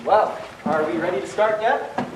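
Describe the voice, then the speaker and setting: A man's voice: high voice, moderate pace, lightly acted. A man playing a teasing young debate rival in an amateur stage play. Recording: echoey hall, distant mic, loud noise.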